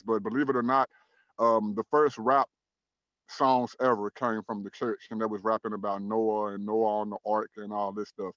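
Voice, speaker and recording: Earnest thirty-something talk show host. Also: male voice, mid-range voice, a bit bright, dry recording, highs cut off. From Dallas, Texas. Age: 30s. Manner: measured, earnest, uses fillers like "um" and "uh". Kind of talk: online talk show discussion.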